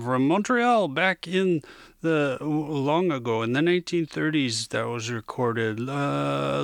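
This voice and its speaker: Halting, gravelly-voiced male radio host. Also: on the high side, no room sound, studio quality. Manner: halting, rambling, searching for words. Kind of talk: casual, unscripted music radio presenting.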